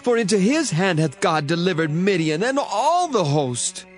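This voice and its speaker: An actor playing an alarmed Midianite soldier in a dramatized Bible reading, declaiming emphatically. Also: male voice, high voice, sing-song, moderate pace, soft background music. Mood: alarmed certainty.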